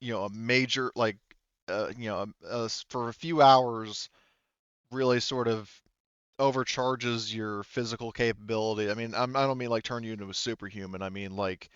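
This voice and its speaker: A man with a smooth, clear, lowish voice acts as game master for a tabletop game. He explains in a casual, hedging, conversational way, recorded dry on a slightly muffled mic. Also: steady tone, moderate pace, fairly bright tone, no echo.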